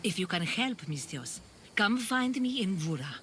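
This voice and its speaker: A woman's voice: medium pitch, big pitch swings, normal speed, fairly bright tone, a little hiss or hum. An actress playing an earnest, guarded village woman who shelters refugees, telling things dramatically in a video game scene over music. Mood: earnest foreboding.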